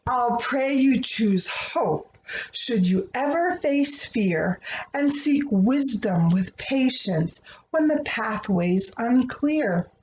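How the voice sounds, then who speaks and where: A woman with a fairly high voice speaks to young children in a tender, earnest read-aloud style. She takes her time over each phrase. The sound comes from a roomy, echoing space. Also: animated, slow, darkish tone. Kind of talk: expressive read-aloud of a rhyming picture book, then gentle talk to children. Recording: dull, echoey room with faint noise.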